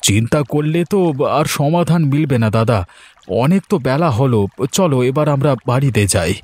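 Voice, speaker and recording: A man's voice: mid-range voice, animated, normal speed, a little mellow, close to an everyday voice. A man voicing a poor village rice farmer, weary but hopeful, earnest and plain-spoken, in a children's cartoon. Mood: reassuring.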